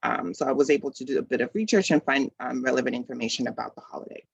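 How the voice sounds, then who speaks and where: A man from the Congo, in his thirties, talks through a community session over a video call, with a gravelly edge to his voice. He speaks casually and conversationally, with frequent ums and false starts. The call audio sounds dry and a bit dull. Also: mid-range voice, rapid-fire, no room sound. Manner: conversational, many ums and restarts. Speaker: community event host.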